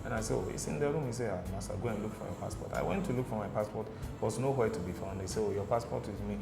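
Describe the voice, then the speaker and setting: A man's voice: fairly low pitch, racing, gravelly. Ghanaian guy on a talk show, keeps asking "you understand?", echoey room with music behind. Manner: animated storyteller, repeats "okay?" and "do you understand?". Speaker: guest. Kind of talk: casual studio interview.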